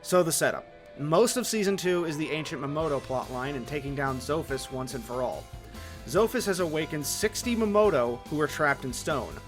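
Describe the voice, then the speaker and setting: Video essayist: male, slightly high pitch, opinionated conversational narration over soft music. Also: lively intonation, moderate pace.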